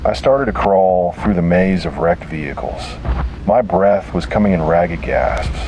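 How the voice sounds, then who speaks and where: A man's voice: low-pitched, unhurried, a little mellow, a little hiss or hum, poor audio. A man reading a scripted first-person horror story in a grave, dramatic way.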